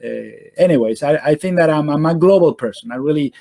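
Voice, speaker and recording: A middle-aged man from Venezuela, answering interview questions in a halting way, full of fillers and restarts, over a video call. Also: fairly high pitch, dark tone, dry recording, studio quality. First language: Spanish. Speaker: guest surgeon.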